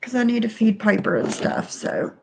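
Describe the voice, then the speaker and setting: A woman's voice: a little high, normal speed, gravelly, slight background noise. A middle-aged woman with a stuffy nose, chatting casually on a home livestream and greeting viewers by name. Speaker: livestream host. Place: bedroom at home, then kitchen.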